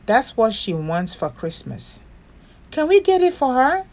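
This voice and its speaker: A woman voicing a young, anxious storybook bear in a small, plaintive, drawn-out voice. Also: fairly high pitch, very animated, a little mellow, voice pushed up. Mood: sheepish. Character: young toy bear, childlike, anxious and sorry.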